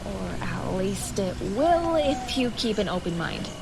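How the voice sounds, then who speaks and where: A woman's voice: mid-range voice, sing-song, a little slow, vocal fry, very noisy. An actress playing an earnest, anxious woman in a horror video game, speaking in a hesitant, pleading way. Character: earnest, anxious woman hiding a strange secret.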